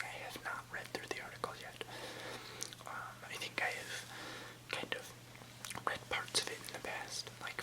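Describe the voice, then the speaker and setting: A young adult ASMR host speaking in a soft, breathy whisper, rambling casually in a hesitant, unhurried way. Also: low-quality recording.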